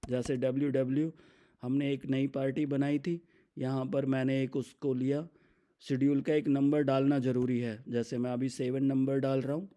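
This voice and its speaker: A man with an Indian accent explains software step by step as an instructor, mixing English business terms into his speech. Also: mid-range voice, level tone, moderate pace. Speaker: software demonstrator.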